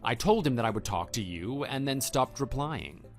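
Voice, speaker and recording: A man playing a calm, matter-of-fact husband and former teacher in a scripted online radio drama. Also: medium pitch, close to an everyday voice. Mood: calm, reassuring. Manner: measured, matter-of-fact, quietly dry. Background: background music.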